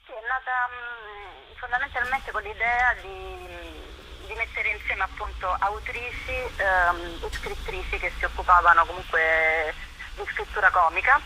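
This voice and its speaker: A woman giving a casual interview, often halting as she searches for words. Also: a little high, lively intonation, bright, forceful tone, slight background noise, poor audio. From Rome, Italy. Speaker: interview guest, writer and performer.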